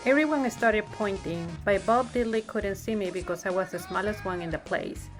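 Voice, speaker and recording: A woman narrates a children's storybook in a read-aloud style. Her voice sits at a medium pitch, with music playing faintly behind it. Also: moderate pace. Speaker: narrator reading a picture book aloud.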